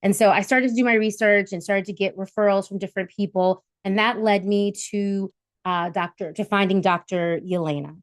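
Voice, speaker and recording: A woman's voice: mid-range voice, fairly even intonation, moderate pace, dry recording. A woman in her late forties talks through a personal story as she opens an interview, loose and chatty with plenty of ums. Her voice sits in a middle range and sounds close and dry, with no room around it. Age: 40s. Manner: chatty, warm storytelling with fillers. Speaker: host. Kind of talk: casual interview chat.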